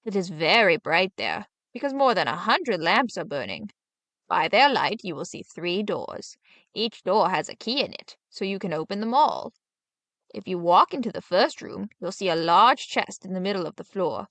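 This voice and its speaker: A woman's voice: medium pitch, expressive, normal speed, fairly bright tone, no echo. A woman voicing a sly, bossy old witch in a storybook, coaxing and instructing, curt at times.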